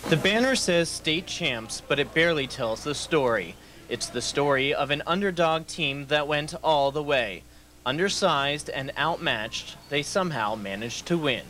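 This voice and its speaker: A man's voice: on the high side, unhurried, sharp tone, slight background noise. A man working as a TV news reporter, narrating a scripted story.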